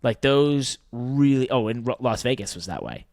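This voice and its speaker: A man talks like a podcast co-host in loose, colloquial banter, animated and quick to joke. His pitch sits in the middle, and the sound is dry with little room in it. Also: no echo. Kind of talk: casual motorsports banter.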